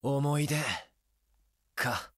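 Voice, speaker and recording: A man's voice: mid-range voice, steady tone, drawn-out words, no room sound. Breezy young game hero, romantic drifter, takes his time, super dry close sound. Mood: wistful. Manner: theatrical, breezy, poetic. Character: carefree, romantic young male wandering warrior with tender streak. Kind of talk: Japanese action game voice acting.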